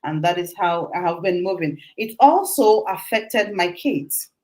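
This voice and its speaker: A middle-aged woman from Nigeria, a guest talking casually about her life, animated and quick to laugh, often restarting her words. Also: fairly low pitch. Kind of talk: casual interview talk.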